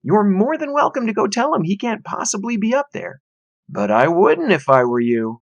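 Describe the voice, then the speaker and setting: A man's voice: high voice, sing-song, moderate pace, no echo, lo-fi sound, pitched above the performer's own voice. A man voicing a glum, matter-of-fact young boy with a plainspoken, wry manner. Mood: wry resignation.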